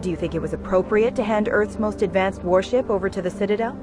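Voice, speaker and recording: A woman's voice: medium pitch, fast, over music, natural voice. A woman playing a polished, needling TV news reporter in a video game. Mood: probing.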